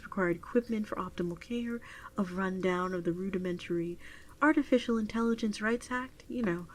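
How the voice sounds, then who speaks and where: A woman playing a gentle, doting virtual pet adoption clerk in a soft-spoken ASMR roleplay, often slipping into a whisper, unhurried and attentive. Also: mid-range voice, lightly acted, low-quality recording. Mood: warm, businesslike.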